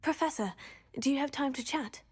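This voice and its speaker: A woman's voice: sits high, brisk, close to an everyday voice. A woman playing a polite, curious young student at a school of magic in a video game. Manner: courteous, inquisitive. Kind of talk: scripted game dialogue.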